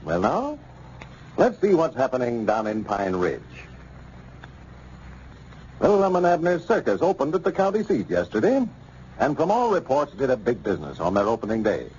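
A muffled vintage radio recording with faint hiss. An American male announcer speaks in a hugely animated, polished 1930s style. His scripted delivery is emphatic, like a sponsor's pitchman. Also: moderate pace, pauses often.

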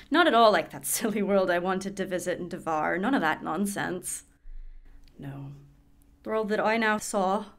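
An actress plays a warm, chatty woman in a radio play monologue. She confides in the listener like a storyteller, with a medium-pitched voice. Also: close to an everyday voice. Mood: wondering, joyful. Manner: confiding storytelling, mimicking others in quoted exchanges.

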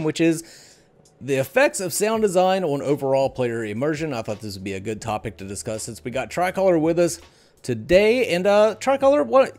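A man's voice: mid-range voice, darkish tone. A middle-aged man hosting a podcast in a casual, conversational style. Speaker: show host.

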